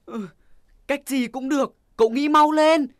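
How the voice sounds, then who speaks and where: A woman's voice: sits high, measured pace, no room sound, studio quality. A woman voicing a goofy, whiny lion in a children's cartoon, pleading urgently. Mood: pleading, impatient.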